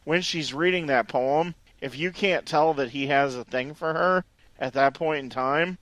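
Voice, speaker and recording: A man's voice: a little high, a little slow, a bit bright, no echo. A man in a casual film review chat, making his points emphatically and with conviction. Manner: emphatic, sure of his reading. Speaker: podcast co-host.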